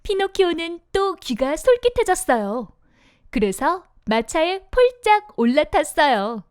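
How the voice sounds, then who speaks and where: A woman narrating a scripted fairy tale for young children in an expressive storytelling manner. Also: sits high, unhurried, no echo, clean studio sound.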